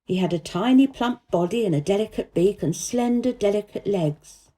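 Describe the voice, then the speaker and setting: A woman narrating a children's story aloud in an expressive, careful storytelling manner. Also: medium pitch, moderate pace, slightly rough. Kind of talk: scripted children's audiobook narration.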